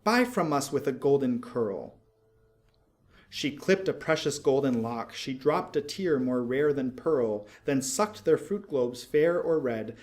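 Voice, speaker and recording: A man reading narrative verse aloud in a measured, expressive, literary storytelling manner. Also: medium pitch. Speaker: poetry reader.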